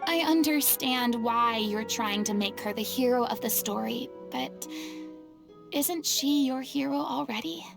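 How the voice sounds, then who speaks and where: An articulate young woman for a video game. Her high voice is firm and earnest, admonishing with some theatrical flair. Music plays clearly behind her. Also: unhurried. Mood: earnest, consoling. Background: background music.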